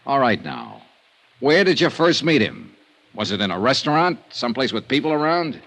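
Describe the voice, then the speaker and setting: A man's voice: mid-range voice, sing-song, normal speed, vocal fry, fairly bright tone, exaggerated voice. A man with an American accent playing an impatient, hard-nosed private investigator in a vintage radio noir drama. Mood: pressing, skeptical. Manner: clipped, pressing interrogation. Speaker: lead actor and narrator.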